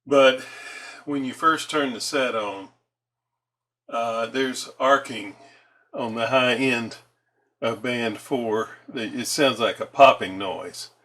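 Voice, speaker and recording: A man giving a casual, unscripted repair walkthrough as a hobbyist host, in a halting, hesitant way with pauses. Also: mid-range voice, sharp tone, a little hiss or hum. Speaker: radio repair hobbyist hosting a how-to video.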